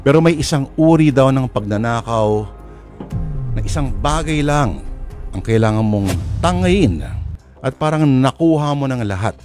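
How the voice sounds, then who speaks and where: A male radio host presents a drama in an emphatic, theatrical way. He stretches out pauses between phrases at a middle pitch, with faint music underneath. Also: animated, a little mellow.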